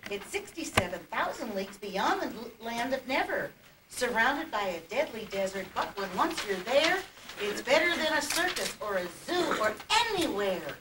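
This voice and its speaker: A woman playing an excitable, jolly puppet storyteller, reciting rhymed verse in a singsong theatrical way. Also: a little high, normal speed. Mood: merry. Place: indoor puppet stage, far camera. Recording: distant, echoey, noisy old camcorder tape.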